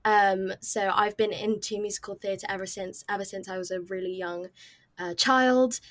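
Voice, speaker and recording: A woman's voice: mid-range voice, fairly even intonation, moderate pace. A young woman, chatting as a podcast guest, bubbly and full of ums. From England. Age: young adult. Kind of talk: casual chat.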